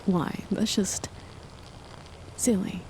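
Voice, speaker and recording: A woman voicing a cold, controlling chemist wife. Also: mid-range voice. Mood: menacing. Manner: soft, measured, condescending, often whispered. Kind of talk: ASMR horror roleplay. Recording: noisy with rain behind. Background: steady rain.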